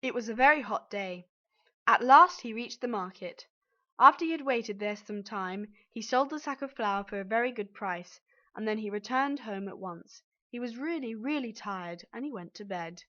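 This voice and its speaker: A woman's voice: a little high, lively intonation, moderate pace, pushed and bright. A woman narrating a scripted children's story as its reader. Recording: dull, band-limited audio.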